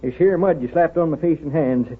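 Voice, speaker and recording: An actor playing a good-natured aging country beekeeper with a rural American drawl, in an old radio drama. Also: male voice, fairly high pitch, a little fast, slightly rough. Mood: cheerful, grateful. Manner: folksy, grateful, hayseed drawl. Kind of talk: 1930s radio drama acting. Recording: muffled, scratchy 1930s radio broadcast.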